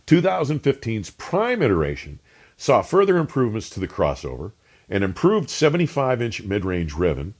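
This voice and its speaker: A man reading scripted, documentary-like narration as a host, measured and emphatic, with precisely pronounced technical terms. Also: lowish voice, darkish tone. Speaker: host and narrator of an audio review channel.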